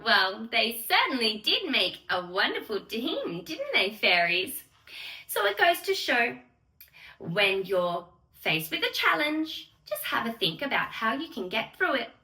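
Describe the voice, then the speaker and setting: A woman's voice: a little high, bright, forceful tone. A woman reading a bedtime story aloud to small children in a theatrical sing-song way, talking directly to her young listeners. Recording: roomy echo, distant microphone.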